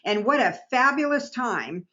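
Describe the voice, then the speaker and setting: A woman's voice: on the high side, very animated, a little slow, sharp tone, highs cut off. Chatty enthusiastic woman presenter. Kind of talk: upbeat product talk.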